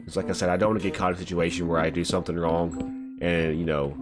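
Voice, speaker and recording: A man's voice: low-pitched, flat delivery, quick pace. A young man with a stuffy, cold-sick voice, rambling casually through gameplay commentary and often saying "bro". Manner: laid-back, rambling, says "bro" often. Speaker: gaming channel host. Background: background music.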